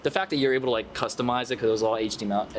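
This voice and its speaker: A man's voice: medium pitch, fairly even intonation, racing. Chill 30s guy interviewing a band, lots of "like", noise behind him. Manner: laid-back, heavy use of fillers. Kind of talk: casual chat.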